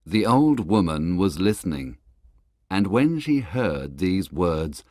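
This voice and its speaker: Male narrator, low pitch, scripted storybook reading, dry studio-like sound. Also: big pitch swings, slow pace, darkish tone, no room sound.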